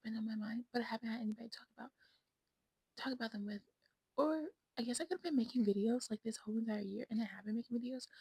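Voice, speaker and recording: Breathy sassy young woman, whispering asides. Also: on the high side, dry recording, poor audio. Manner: sassy, drifts between whispers and loud asides. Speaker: vlogger. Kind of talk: casual chat to camera. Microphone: new desk mic.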